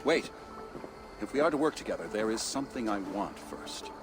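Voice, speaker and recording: A man's voice: fairly low pitch, lively intonation, normal speed, over music, lightly acted. A man playing a shrewd, wary negotiator in a video game cinematic, speaking in a dry, guarded, pointed way.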